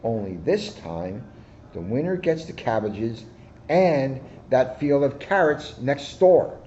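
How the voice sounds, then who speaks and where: A man voicing a clever, calm female tortoise from a children's storybook, measured and quietly sly. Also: mid-range voice, dull audio, a little hiss or hum, pitched above the performer's own voice. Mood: scheming.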